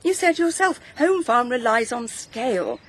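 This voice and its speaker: A woman's voice: on the high side, sing-song, measured pace, fairly bright tone, slightly rough, heavily acted. A woman playing a hurt, resolute older wife and matriarch with an English accent, earnest and persuasive in a naturalistic radio drama. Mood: insistent, worried.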